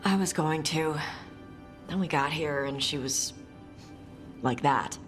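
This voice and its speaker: Blunt, low-voiced warrior woman, music behind. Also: slow. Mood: subdued. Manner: terse, blunt, casual. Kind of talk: video game cutscene acting.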